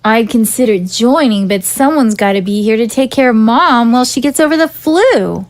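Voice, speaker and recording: A woman's voice: on the high side, animated, moderate pace, no echo, natural voice. A woman playing a timid teenage girl. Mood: hesitant. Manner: hesitant, soft-hearted. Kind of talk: amateur audio drama acting.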